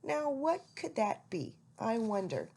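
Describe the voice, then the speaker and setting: Brief: prim, courteous elderly lady, voiced by a woman, lilting and slow, husky. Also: fairly high pitch, very animated, warm tone, full character voice. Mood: polite. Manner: genteel, polite. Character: polite, kindly old lady with poor eyesight.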